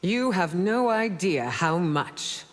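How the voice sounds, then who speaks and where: A regal, proud warrior ruler from a fighting game, speaks in formal, measured phrases. The pitch moves widely, and a long reverb trails the voice. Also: female voice, expressive. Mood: grave. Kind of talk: video game character banter.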